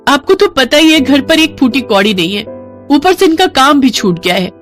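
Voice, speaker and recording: A woman playing a poor, kind but harried young village mother in a storybook tale, earnest and expressive. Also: high voice, moderate pace, soft background music, voice pushed up. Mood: exasperated.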